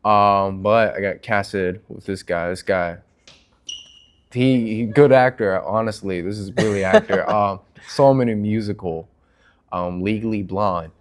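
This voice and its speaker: Informal low-voiced man hosting a chat. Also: a little slow. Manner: informal, hesitant, searching for words. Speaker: channel host. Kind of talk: casual chat.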